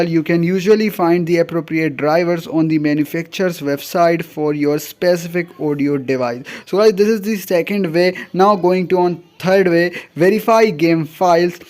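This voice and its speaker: Man, high-pitched voice, scripted tutorial narration, formulaic step-by-step delivery. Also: darkish tone. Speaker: tutorial video host.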